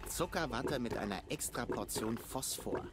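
A man's voice: medium pitch, lively intonation, moderate pace, heavy background noise, close to an everyday voice. An actor voicing a cocky young male adventurer in a video game, talking in self-assured quips. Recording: game audio over background music.

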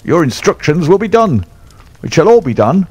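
A man voicing a fierce, bullying elderly horse trainer who blusters and shouts, raised well above his own voice. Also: high-pitched, lively intonation, fast, pitched above the performer's own voice. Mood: cringing pleading. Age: older.

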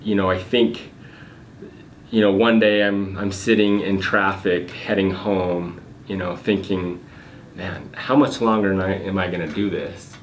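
A man with a very low voice answers interview questions in an unhurried, halting way, full of fillers and restarts. He is in an echoey office meeting room, picked up from across the table. A faint noise hums underneath. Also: steady tone. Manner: hesitant, many fillers and restarts. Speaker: guest, real estate investor.

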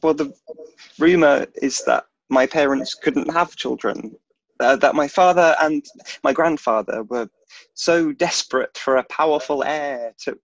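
A man's voice: fairly high pitch, measured pace, no room sound. A young British man playing a nervous, chatty, self-deprecating schoolboy wizard in a play reading, with a hesitant, stammering delivery. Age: young adult. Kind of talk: theatrical table read. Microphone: video call. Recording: dull video-call audio.

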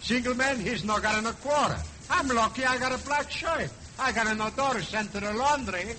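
Wisecracker, very high voice, broken English, 1930s radio comedy, crackly noisy recording. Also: male voice, normal speed. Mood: joking complaint. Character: wisecracking Italian man about town.

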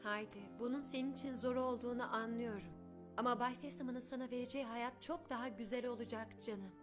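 A woman's voice: fairly high pitch, steady tone, a little fast, over music, lightly acted. A woman playing a caring but firmly persuasive young aunt in a dubbed cartoon, soothing and coaxing.

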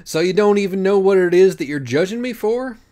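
A man's voice: high-pitched, animated, a little fast, dark tone, pitched above the performer's own voice. A man voicing an indignant, defiant, snappy pony with a foul mouth in an audiobook story. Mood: shaken defiance. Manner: snappy, profane, incredulous.

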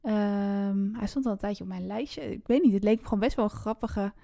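A woman's voice: mid-range voice, fairly even intonation, normal speed, gravelly, warm tone, no echo, studio quality. A woman with a Netherlands Dutch accent chatting casually as co-host of a book podcast, upbeat and agreeable. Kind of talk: casual co-hosted chat.

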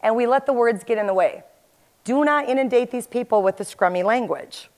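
A woman in her forties speaks as an agile coach at a conference, her voice sitting a little high. She talks animatedly and gestures toward the audience. She is picked up on a headset mic in a large hall. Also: a little fast, a little mellow. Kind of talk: conference presentation.

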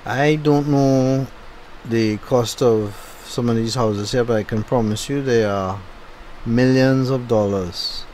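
A man with a Trinidadian accent giving a low-key, unenthusiastic running commentary while driving. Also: slow, a little mellow, slight background noise, poor audio. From Trinidad and Tobago. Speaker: driving vlogger. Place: inside a moving car.

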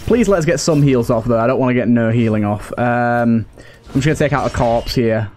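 A man's voice: mid-range voice, a little fast, darkish tone. A man hosting a video game playthrough with casual, conversational commentary. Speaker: gaming host. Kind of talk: casual let's play commentary.

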